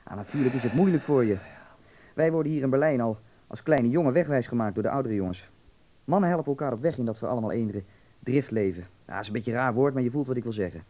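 Worldly young berlin man in a radio play, mellow voice, candid, pushes his point but reassuring. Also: mid-range voice, lively intonation, quick pace. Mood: insistent, earnest. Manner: earnest, persuasive, explaining patiently. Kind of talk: radio drama acting.

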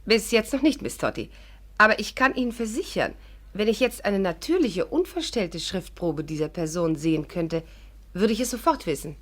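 Actress as a polite amateur sleuth, mid-pitched. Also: female voice, animated, moderate pace, lightly acted. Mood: eager, confiding. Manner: earnest, courteous, articulate. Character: clever, polite amateur sleuth bluffing as secretary. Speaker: heroine and narrator. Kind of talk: 1950s German radio play acting and narration.

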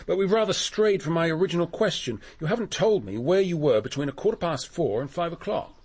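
A man playing a businesslike police inspector in a radio drama, slightly husky, questioning in a measured official manner. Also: fairly high pitch, animated, darkish tone. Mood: brisk, probing.

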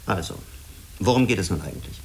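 A man's voice: deep voice, sing-song, quick pace, creaky, darkish tone, a little hiss or hum, exaggerated voice. A man playing a blunt, wary spaceship commander in a German radio drama, direct and reserved in his manner. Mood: blunt, questioning.

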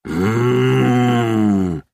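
A huge monstrous man acted by a narrator growls in a low voice. He broods and strains with impatience, groaning as if tormented, in dark audiobook style. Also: slow, no echo, studio quality, heavily acted. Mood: growling impatience. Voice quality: growling, wheezy.

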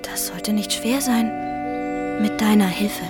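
An actress playing a calm, devoted and quietly brave young village girl in a German radio play, speaking tenderly. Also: mid-range voice, takes it slowly, whispered, loud music behind the voice, close to an everyday voice. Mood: resolute.

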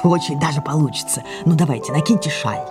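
An older actress playing an imperious, theatrical, aging great French actress in an audio drama, dramatic, commanding and mercurial. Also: female voice, sits low, quick pace, over loud music, natural voice. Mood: impatient, commanding. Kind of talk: audio drama stage acting.

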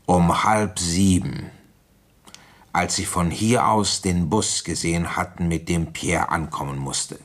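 An actor playing a weary, shrewd provincial policeman recounting an old case in a radio play, deliberate, conversational and wry. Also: low-pitched, full character voice. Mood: calm, knowing. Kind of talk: German radio play, first-person narration and dialogue.

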